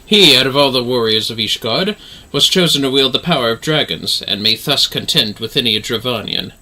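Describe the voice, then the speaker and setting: A man's voice: medium pitch, lively intonation, fairly quick, pushed and bright, low-quality recording. A man hosting a gaming livestream, chatting to viewers and reading game dialogue aloud.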